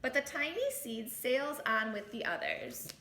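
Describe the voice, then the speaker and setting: A woman reading a storybook aloud to children in an expressive teacher's way, adding little asides, in an echoey room. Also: high-pitched, measured pace. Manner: animated, exclaims and comments on the story.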